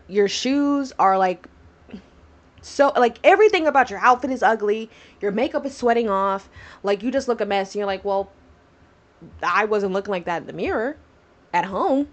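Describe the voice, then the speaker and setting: Woman in her twenties, podcast host, rambly casual chat, lots of likes and ums, slightly high voice. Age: 20s. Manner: rambling, chatty, lots of fillers and tangents.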